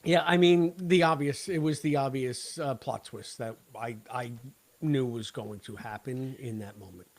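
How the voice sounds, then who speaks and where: A man's voice: medium pitch, steady tone, moderate pace. A man co-hosting a podcast, talking casually and giving blunt, opinionated takes in conversation. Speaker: podcast co-host. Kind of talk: casual chat, episode discussion.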